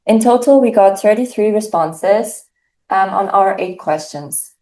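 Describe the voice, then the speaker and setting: A teenage girl from Germany, a native German speaker, presenting survey results with frequent pauses. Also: mid-range voice, warm tone, echoey room, rough recording. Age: teens. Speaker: student presenter. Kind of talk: class presentation.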